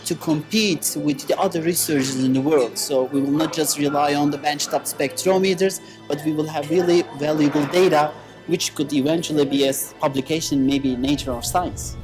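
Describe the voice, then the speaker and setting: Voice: mid-range voice, fairly even intonation, moderate pace, over music. A visiting researcher speaking hopefully about the value of the data for their work. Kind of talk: documentary interview.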